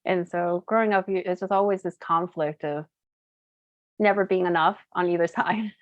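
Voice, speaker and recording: A chatty woman in her thirties from Colorado, with Cantonese as her first language, telling her story with plenty of "like" and "um". Her voice sits a little low for a woman. The sound is dry and slightly dull, like a modest home setup. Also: fairly even intonation, normal speed, no room sound. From United States (Colorado). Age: 30s. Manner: chatty, uses many fillers and laughs easily. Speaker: interview guest, entrepreneur. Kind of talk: casual chat, storytelling.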